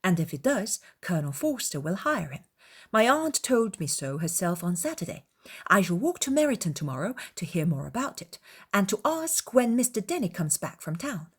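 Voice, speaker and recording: A woman's voice: mid-range voice, very animated, moderate pace, slightly rough. A woman voicing a brash, frivolous teenage girl in an audiobook, chatty and gossipy. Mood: bored, chatty.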